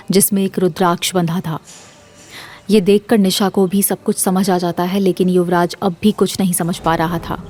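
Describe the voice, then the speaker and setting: A woman's voice: fairly low pitch, darkish tone. A woman narrating a scripted audio drama as the storyteller.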